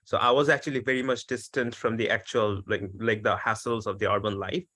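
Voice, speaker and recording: A man's voice: on the low side, fairly even intonation, fairly quick, sharp tone, no echo. A young man from Bangladesh answering on a webinar panel, talking earnestly with lots of "like" fillers and restarts. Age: young adult. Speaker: startup team member, economics student. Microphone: video call.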